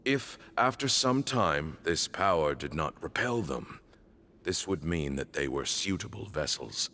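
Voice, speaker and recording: An actor playing a grave, reproachful older male temple leader in a fantasy video game, speaking formally and deliberately. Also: extremely low pitch, animated, bright, forceful tone, slight background noise, full character voice. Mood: grave, recounting. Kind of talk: dramatic scripted game dialogue.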